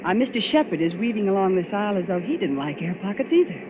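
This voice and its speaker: A woman's voice: mid-range voice, very animated, quick pace, a crowd in the background, lightly acted. An actress playing a nervous, easily spooked woman passenger in a 1940s radio drama. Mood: uneasy. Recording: dull, noisy vintage radio recording.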